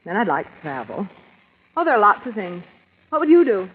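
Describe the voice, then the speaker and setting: An actress playing an earnest, excitable young woman in a 1940s radio thriller, questioning and emphatic in her delivery. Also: medium pitch, fairly quick, husky, phone-quality sound. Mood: coy, surprised. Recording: thin, noisy 1940s radio recording.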